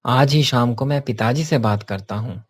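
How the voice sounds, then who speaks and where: A man's voice: medium pitch, normal speed, dry recording, studio quality, close to an everyday voice. A man playing an earnest office worker and devoted family man in a cartoon story, explaining himself plainly. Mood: weary, troubled.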